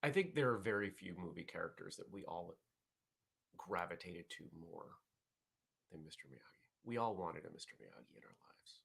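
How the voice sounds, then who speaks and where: A man with a somewhat low, husky voice hosts a casual live morning show. He rambles and corrects himself as he talks, and stops now and then to read viewer chat aloud. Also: big pitch swings, normal speed, fairly bright tone. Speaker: host of a martial arts morning show.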